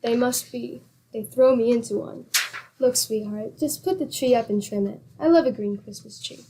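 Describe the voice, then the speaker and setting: A woman's voice: a little high, moderate pace, warm tone, a little hiss or hum, close to an everyday voice, rough recording. A woman acting a patient, sensible wife in old-time radio comedy, with dry, affectionately teasing sarcasm. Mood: sarcastic.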